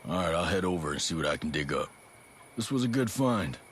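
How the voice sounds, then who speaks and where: Very deep-voiced, steady soldier hero. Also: male voice, a little fast, a little hiss or hum. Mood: businesslike. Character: steady, determined male special forces soldier hero. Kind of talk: video game cutscene acting.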